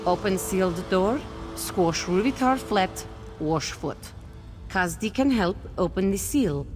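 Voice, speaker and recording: A woman's voice: mid-range voice, expressive, slow, music in the background. A woman playing a wretched, bitter cat-folk captive in a fantasy video game, dramatic and scornful, referring to herself in the third person. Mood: scornful, conspiratorial. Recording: long cavernous reverb.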